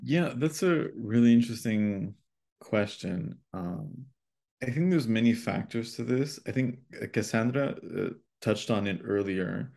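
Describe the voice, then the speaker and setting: Gravelly low-voiced man, thoughtful. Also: lively intonation, mellow. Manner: reflective, thoughtful, speaks with pauses. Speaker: podcast guest, language educator. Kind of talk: casual conversation.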